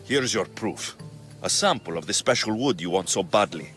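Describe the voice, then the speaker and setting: An actor playing a gruff, honest and weary mercenary with a dry, sardonic delivery in a video game. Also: male voice, deep voice, animated, moderate pace, over music. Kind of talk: video game cutscene acting.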